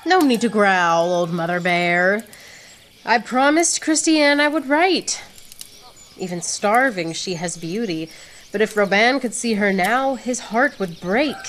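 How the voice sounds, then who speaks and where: A woman's voice: mid-range voice, expressive, a little slow, a bit bright, a little hiss or hum. A woman playing a proud, witty soldier-poet with a wry, poetic way of speaking, in radio theatre. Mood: wry, jaunty.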